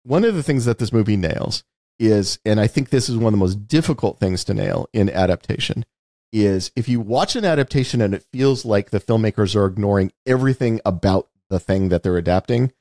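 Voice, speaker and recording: A man's voice: fairly low pitch, brisk, warm tone, dry recording, studio quality. A man hosting a podcast, talking through a film in an emphatic, explanatory way and often checking in with "right?". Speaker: podcast host. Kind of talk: conversational film analysis.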